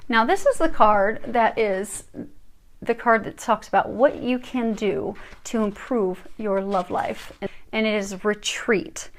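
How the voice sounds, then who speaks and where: A woman giving a casual tarot reading, gentle and reassuring, with frequent fillers and restarts. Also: mid-range voice. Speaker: tarot reader.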